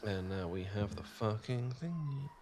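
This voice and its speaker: A man's voice: lowish voice, lively intonation, measured pace, noisy background. Guy doing a sunburnt british dork in an asmr roleplay, stammers a lot, keeps putting himself down, nervous little laughs. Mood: flustered. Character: awkward sunburned young British man, sweet and flustered. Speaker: ASMR performer.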